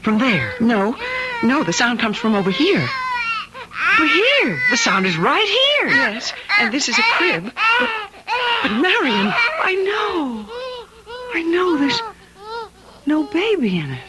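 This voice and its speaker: A woman's voice: sits high, huge pitch swings, slow pace, long pauses, exaggerated voice. An actress playing a frightened, hesitant woman traveler in an old-time radio mystery, speaking in dramatic exclamations. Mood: anxious, unsettled. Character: frightened woman traveler in an eerie deserted barn. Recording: very noisy radio drama with sound effects.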